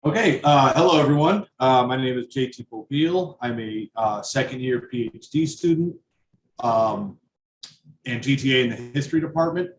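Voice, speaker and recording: A man's voice: mid-range voice, lively intonation, moderate pace. A young man, a PhD student and union grievance chair, giving a firm prepared speech with some uh fillers. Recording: roomy with faint noise.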